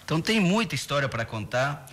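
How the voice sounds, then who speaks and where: A man from southern Brazil, a musician guest, telling stories of his childhood in a relaxed, halting way. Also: on the high side, crowd noise. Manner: halting, repeats words, storytelling. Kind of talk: casual chat interview.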